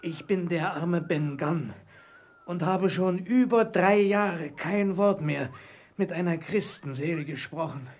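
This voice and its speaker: An actor playing a ragged, half-wild marooned castaway in a radio play, imploring and fearful, with a husky voice. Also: male voice, high voice, takes it slowly, darkish tone. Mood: woeful.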